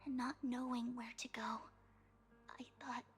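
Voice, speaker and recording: A woman voicing a gentle, devoted young woman with a sorrowful past in a video game, speaking in a soft, breathy, delicate way. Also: on the high side, level tone, measured pace, close to an everyday voice. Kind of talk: anime-style video game voice acting. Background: background music.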